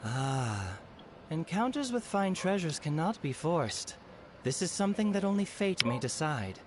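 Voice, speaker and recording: A man's voice: a little high, very animated, measured pace. A man playing a courteous, philosophical traveling treasure merchant in a video game, with formal, theatrical, archaic phrasing. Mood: grandly serene. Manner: formal, archaic, grandly wistful. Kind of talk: video game character dialogue. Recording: noisy game audio from a stream.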